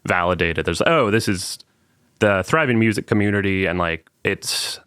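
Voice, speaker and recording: A man's voice: low-pitched, normal speed, fairly bright tone, smooth, no room sound, clean studio sound. A man from North Carolina, a musician guest in an interview, talking in an easygoing way with many fillers. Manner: easygoing, affirming, filler-heavy. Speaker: band member guest. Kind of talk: casual interview.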